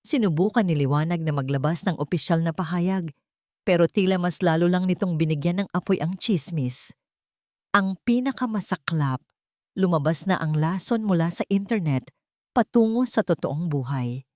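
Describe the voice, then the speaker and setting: Woman narrating drama, lower-pitched, dry sound. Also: animated, moderate pace, dark tone, dry recording. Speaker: storyteller. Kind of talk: dramatic scripted romance narration.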